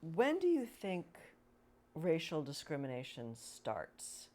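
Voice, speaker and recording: Woman host, very low voice, slow, hesitant, lots of fillers. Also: wildly swooping pitch, mellow. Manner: hesitant, filler-heavy questioning. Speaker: podcast host. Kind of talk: interview questions.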